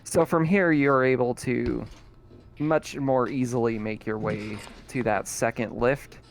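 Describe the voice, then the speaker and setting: A man with a gravelly, creaky voice narrates a sci-fi tabletop session as game master. He keeps it relaxed and chatty, at a mid pitch. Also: unhurried, slight background noise.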